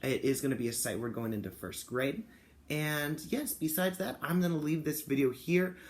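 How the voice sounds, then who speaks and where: A man speaking as a kindergarten teacher, reading and explaining to young children in an expressive, encouraging way with simple phrasing. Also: a little high, normal speed. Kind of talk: picture book read-aloud and talk to children and parents.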